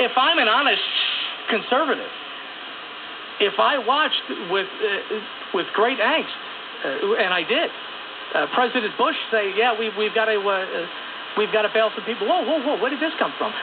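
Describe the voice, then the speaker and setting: A man's voice: high voice, expressive, normal speed, pauses often. A man giving impassioned political commentary on talk radio, emphatic and stammering. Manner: emphatic, stammering, self-questioning. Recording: tinny radio reception with static. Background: radio static.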